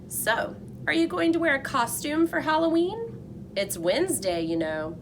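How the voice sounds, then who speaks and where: A woman's voice: sits high, big pitch swings, measured pace, background noise, pitched above the performer's own voice. A woman voicing a friendly male school janitor with a jovial, teasing manner in a children's storybook.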